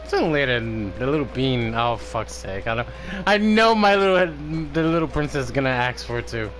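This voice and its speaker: A man's voice: mid-range voice, very animated, normal speed, a bit bright, faint music behind. A man giving casual, rambling live gameplay commentary, swearing and prone to giggling. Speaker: game streamer.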